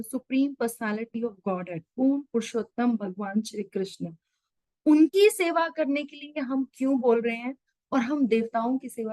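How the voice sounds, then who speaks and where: A woman teaches a scripture class over a video call, slipping English words into her explanations and working through simple everyday examples. Her voice sits fairly high and sounds dry and close, with almost no room around it. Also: a little mellow, no room sound, poor audio. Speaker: Gita study session leader.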